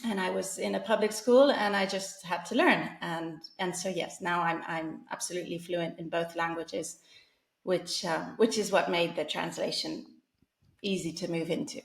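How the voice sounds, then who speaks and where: A woman's voice: on the low side, fairly even intonation, moderate pace, gravelly, reverberant. A woman in her forties from England, talking casually as an interview guest, with many fillers and restarts. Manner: chatty, frequent fillers and restarts, laughs often.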